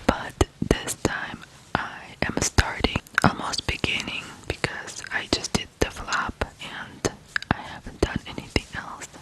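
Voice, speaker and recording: An ASMR host from Ukraine, telling stories in a hushed whisper with frequent pauses between short phrases. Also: rough recording. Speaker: ASMR video host and storyteller. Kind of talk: whispered ASMR true crime storytelling.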